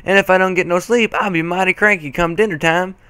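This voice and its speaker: A man's voice: high-pitched, steady tone, fast, bright, forceful tone, clear, resonant tone, no room sound, voice pushed up. A man voicing an elderly granny pony, sleepy and cranky, with rustic old-timer phrasing in a story reading. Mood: grumbling, insistent.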